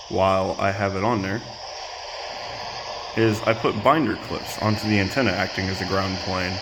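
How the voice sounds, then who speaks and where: Low-voiced man, casual gear review, noisy background. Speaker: presenter reviewing radio gear. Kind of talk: casual product demonstration. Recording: clear background noise.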